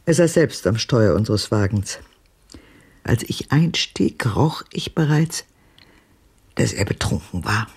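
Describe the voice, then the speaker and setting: An older actress playing a haunted, commanding old woman who recounts a grim past haltingly in a German radio drama, her voice deep and husky. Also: lively intonation, darkish tone, full character voice. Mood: grave, haunted.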